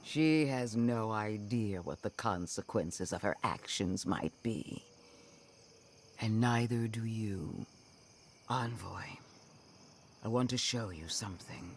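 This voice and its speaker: An actor playing a stern, solemn undead zealot in a fantasy game, speaking deliberately and accusingly. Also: male voice, fairly low pitch, slight background noise. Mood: ominous. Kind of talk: fantasy game cutscene acting.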